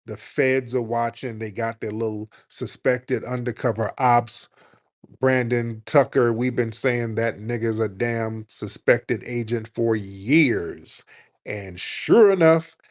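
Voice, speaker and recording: A man hosting a call-in show, talking in a blunt, profane and animated way and sometimes mocking people in a high put-on voice. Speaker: host.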